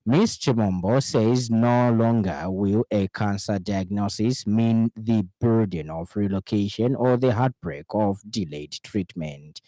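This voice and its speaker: A man with a Zambian accent reading a scripted radio news bulletin in a formal, measured way. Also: on the low side, dark tone, no room sound, rough recording. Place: radio studio.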